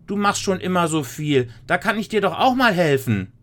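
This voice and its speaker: A man voicing an eager young girl in a children's story, pleading and excited. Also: moderate pace. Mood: hurried. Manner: high, pleading, breathless with eagerness.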